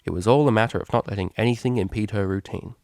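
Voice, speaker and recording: A man's voice: on the low side, fast, a little mellow, no echo, studio quality. A man reading fan fiction aloud as scripted story narration.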